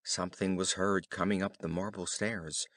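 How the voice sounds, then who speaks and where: A man narrating a children's fairy tale in an expressive, careful storytelling style. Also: low voice, normal speed, dry recording, studio quality. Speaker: audiobook narrator.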